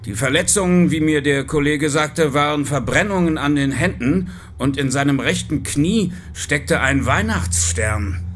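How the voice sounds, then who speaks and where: An actor in an audio drama playing a serious, businesslike police chief inspector. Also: male voice, fairly high pitch, a bit bright, faint music behind. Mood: grave, pondering. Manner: businesslike, brisk. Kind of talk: German audio drama acting.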